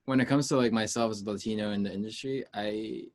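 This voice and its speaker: Male music artist as interview guest, from the US, lowish voice, informal, rambling and halting delivery. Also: steady tone, a little mellow. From United States, Peruvian heritage. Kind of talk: casual interview chat.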